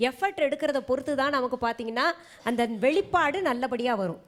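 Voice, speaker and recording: A woman's voice: sits high, brisk. A woman giving frank, instructive spoken critique as a singing audition judge.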